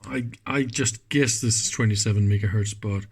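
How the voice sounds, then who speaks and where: A man giving casual, unscripted hands-on commentary as a teardown host, musing in a halting way and trailing off mid-thought. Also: lowish voice, heavy background noise. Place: indoors at a workbench.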